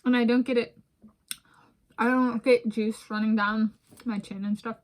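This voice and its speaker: American woman, 30s, slightly high-pitched, for casual digressive home food vlogs, chats between bites. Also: level tone, unhurried. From United States. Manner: rambling, digressive, talks while eating. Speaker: vlogger. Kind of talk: casual food vlog talk. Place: home room with bookshelves, indoors.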